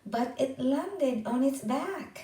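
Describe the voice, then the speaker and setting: Slow, expressive woman storyteller in echoey room. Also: high voice. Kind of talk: children's picture book read-aloud. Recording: roomy echo, distant from microphone.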